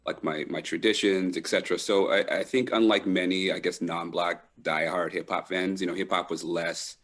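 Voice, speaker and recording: A middle-aged man with a very low voice talks in a reflective, conversational way. He is thoughtful and halting, often stopping to search for the right word, as a guest in an interview. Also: fairly even intonation, fairly bright tone. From Trinidad and Toronto, Canada. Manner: thoughtful, halting, pausing to find words. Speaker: panel guest. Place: live online video call.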